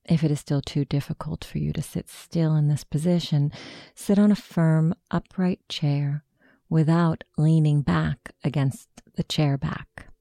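Woman, meditation guide, slow-paced, very dark soft tone, soothing, close and dry. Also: low-pitched, steady tone, vocal fry, dry recording.

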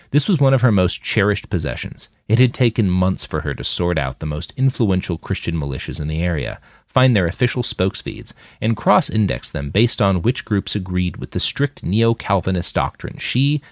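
A man's voice: low voice, dry recording, studio quality. A man reading a novel aloud as scripted audiobook narration, expressively acting out the dialogue. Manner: expressive, acts out quoted dialogue. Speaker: author reading his fiction aloud.

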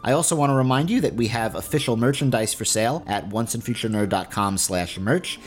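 A man with a low voice reads podcast announcements as the host. His delivery is earnest and informal, with light music underneath. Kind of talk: informal scripted announcements and sponsor read.

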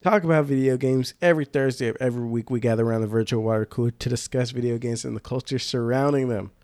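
A man's voice: medium pitch, fairly even intonation, quick pace, darkish tone, no room sound. A man hosting a casual podcast, slangy and laid-back, sounding nasal from a cold. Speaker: podcast host.

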